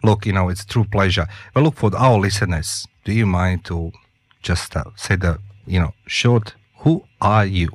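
A man hosting a studio interview whose first language is not English, jokey and halting in his phrasing. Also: low voice, slightly rough, dry recording. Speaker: radio show host.

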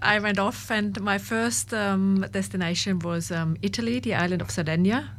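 A young woman from Austria with an Austrian accent, speaking softly and hesitantly as an interview guest. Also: mid-range voice, steady tone, moderate pace. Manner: soft-spoken, laughs often, hesitant with many ums. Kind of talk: interview conversation.

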